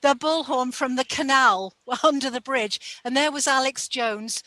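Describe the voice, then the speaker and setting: High, bright-voiced woman chatting. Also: measured pace, dry recording. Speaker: panel guest or co-host. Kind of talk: casual eyewitness talk.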